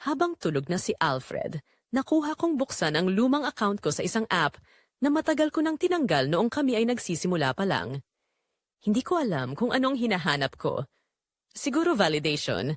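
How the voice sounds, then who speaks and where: Woman to play a quiet, neglected wife with hidden longing; Filipino accent, confiding dramatic audio-drama narration, very expressive pitch movement, close dry sound. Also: no room sound. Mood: confessional. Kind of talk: first-person dramatic audio story narration.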